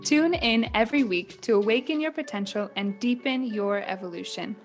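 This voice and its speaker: Woman reading a podcast intro over soft music. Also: a little high, normal speed.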